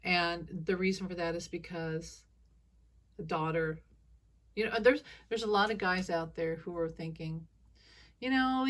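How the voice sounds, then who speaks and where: Scratchy-voiced woman rambling solo. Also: fairly low pitch. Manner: rambling, chuckling asides. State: scratchy voice from allergies. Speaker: psychic commentator. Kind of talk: casual monologue. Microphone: phone.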